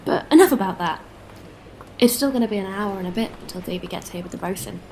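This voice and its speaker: Candid female spacefarer, mid-pitched, played by a woman. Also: fast, warm tone, slight background noise, close to an everyday voice, low-quality recording. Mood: friendly, curious. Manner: casual, conversational. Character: candid, weary but friendly female spacefarer. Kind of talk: sci-fi audio drama acting.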